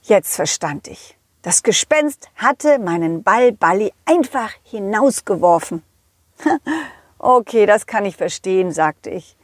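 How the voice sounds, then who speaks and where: A woman voicing a confident, loyal, kindly dog who tells his adventures to children in a chatty, halting, empathetic way. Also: mid-range voice, lively intonation, dry recording, studio quality. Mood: earnest, kindly. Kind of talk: children's audio play storytelling.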